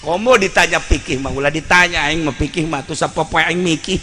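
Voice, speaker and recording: High, forceful Sundanese male preacher. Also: a little fast, faint music behind. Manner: earthy, blunt storytelling with acted-out dialogue. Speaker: Islamic preacher. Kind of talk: Sundanese sermon told as a folk tale.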